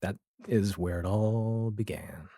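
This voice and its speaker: Male American podcast guest, forties, low register, casual anecdotal delivery, dry recording. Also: slow, mellow, husky, dry recording. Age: 40s. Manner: chatty, acts out imagined dialogue. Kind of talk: casual anecdotal interview chat.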